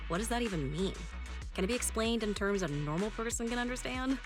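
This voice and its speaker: A woman's voice: a little high, expressive, fairly quick, music in the background, close to an everyday voice. A woman voicing a curious, plain-spoken character in casual game cutscene dialogue.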